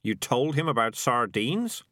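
A man's voice: medium pitch, very animated, measured pace, bright, forceful tone, no room sound, studio quality. A man voicing a smug, self-justifying talking cat with a drawling delivery in an audiobook story. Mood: wry. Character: smug male talking cat, defensive and wheedling.

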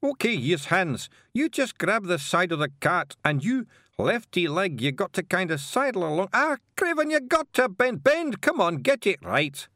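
A high-pitched, bossy little Scottish creature from a storybook. The voice blusters and scolds in a broad Scottish accent, leaping about in pitch and loud with irritation, stumbling as it tries to pass for a gentleman. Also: sing-song, normal speed, no echo, studio quality, full character voice. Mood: bossy, exasperated.

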